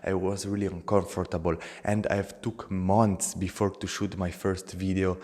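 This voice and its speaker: A man's voice: sits low, little pitch movement, moderate pace, a little mellow, long reverb tail. A young man with an Italian accent, talking earnestly to camera as a motivational channel host, in simple non-native phrasing.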